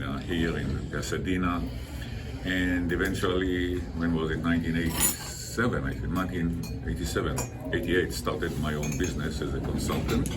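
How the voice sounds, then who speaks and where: An older man with an Israeli accent giving an informal presentation as a guest speaker, explaining things in a halting way. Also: extremely low pitch. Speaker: guest speaker, environmental consultant. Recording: distant mic with loud background noise.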